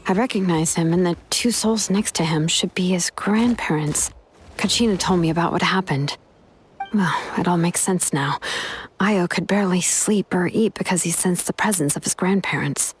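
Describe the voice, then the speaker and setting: A woman playing a composed, serious young female leader in a game, speaking in a measured, gentle, explanatory way. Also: on the low side, a little hiss or hum, close to an everyday voice. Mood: gentle, explaining. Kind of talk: English game dub acting.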